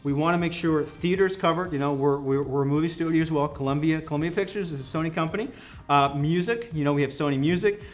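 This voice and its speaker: Higher-voiced man giving an enthusiastic product pitch. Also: fast. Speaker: company product representative. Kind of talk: conversational product demo interview. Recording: music playing behind the voice.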